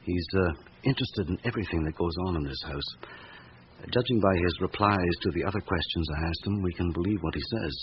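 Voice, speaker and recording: A man plays an earnest police detective in a radio drama. His low voice delivers orderly, factual reports on a muffled, faintly hissy recording. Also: a little fast, close to an everyday voice. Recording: muffled old radio recording, background hiss.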